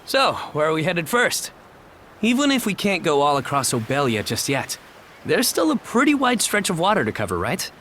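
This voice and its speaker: A man's voice: fairly high pitch, wildly swooping pitch, moderate pace, fairly bright tone, a little hiss or hum. A man playing an eager, agreeable young sailor in a video game.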